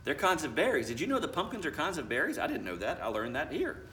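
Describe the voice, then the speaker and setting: A man's voice: on the high side, wildly swooping pitch, fast, bright, forceful tone. A man, a school principal, reading a children's picture book aloud expressively, with chatty asides to the children. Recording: roomy, distant mic, faint noise.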